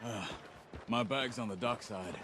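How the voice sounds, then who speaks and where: An actor playing a stoic, thoughtful Old West gang veteran, measured and understated, with outdoor noise behind him. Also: male voice, lowish voice, lightly acted. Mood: sombre, reflective. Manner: measured, understated, short sentences. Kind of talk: naturalistic game cutscene dialogue. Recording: noisy outdoor ambience with hoofbeats.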